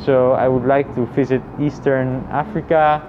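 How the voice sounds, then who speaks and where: A man thinking aloud in a casual, reflective personal vlog, with halting phrasing and frequent repetition. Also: medium pitch, steady tone, muffled recording, noisy background. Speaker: vlogger.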